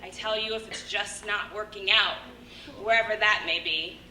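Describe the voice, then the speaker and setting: A young American woman delivers a theatrical monologue on stage, assertive and emphatic, in punchy statements with pointed pauses. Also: medium pitch, steady tone. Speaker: monologue performer. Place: stage at a live show. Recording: echoey hall from far off, faint noise.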